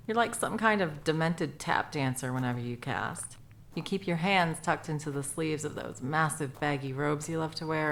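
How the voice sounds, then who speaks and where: Female sound mage, acted by a woman for audio roleplay: low register, gravelly fry, cursing, bossy, teasing, secretly smitten. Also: fairly bright tone, a little hiss or hum, full character voice. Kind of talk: intimate second-person audio roleplay acting.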